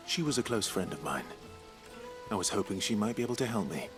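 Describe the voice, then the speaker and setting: An actor playing a calm, resolute outlawed traveler in a video game, speaking in a polite, terse and measured way. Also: male voice, fairly low pitch, lightly acted. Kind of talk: video game cutscene acting. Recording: music under the dialogue.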